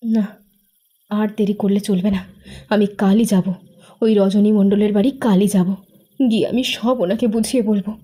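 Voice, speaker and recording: A woman playing an earnest, anxious young woman, pleading in an animated horror cartoon. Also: mid-range voice, moderate pace, long pauses, close to an everyday voice. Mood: earnest, determined. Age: young adult.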